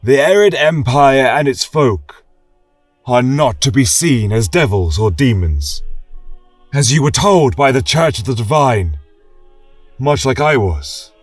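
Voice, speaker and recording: Guy doing a weary fantasy king giving a big solemn speech, rough voice, echoey with music behind. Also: mid-range voice, lively intonation, slow pace, voice pushed up. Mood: earnest, resolute. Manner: solemn, declamatory oratory. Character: weary, passionate warrior king addressing his people. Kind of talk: fantasy audio drama speech. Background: choral music.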